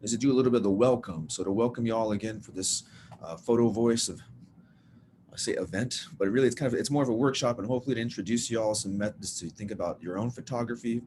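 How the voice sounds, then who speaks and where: A man's voice: on the low side, fast, noisy background. A man leading a workshop over a video call, talking in a loose, rambling, self-deprecating way and saying "y'all". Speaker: workshop leader. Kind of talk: casual teaching talk. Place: at home, typing on a bed.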